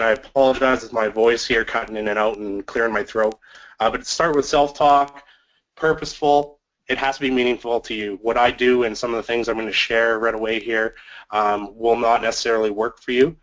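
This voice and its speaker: A man with a cold and a fading voice, giving a presentation on communication to basketball officials, clearing his throat often. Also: mid-range voice, fairly bright tone, highs cut off. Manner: frequent throat clearing, fillers. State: has a cold, losing his voice.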